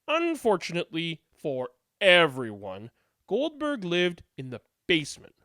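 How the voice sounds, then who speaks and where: A woman narrates a children's storybook with huge swings in pitch. She takes her time and leans into the comic drama, stressing words emphatically. The sound is dry and close. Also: huge pitch swings, measured pace, fairly bright tone, no echo. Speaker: read-aloud narrator. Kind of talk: animated children's storybook reading.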